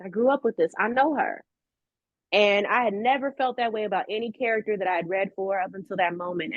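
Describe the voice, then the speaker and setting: A woman's voice: mid-range voice, no echo. American woman, emphatic storyteller, slightly dull audio. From United States. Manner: emphatic, repeats phrases for effect, slangy. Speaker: voice actress, interview guest. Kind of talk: animated conversational interview storytelling.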